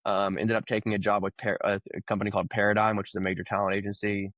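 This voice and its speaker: A man in his thirties from Oklahoma, a podcast guest, telling his background in a relaxed, rambling way with plenty of fillers. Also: on the low side, very fast, no echo. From Oklahoma, USA. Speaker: guest, crypto founder. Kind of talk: casual conversational interview.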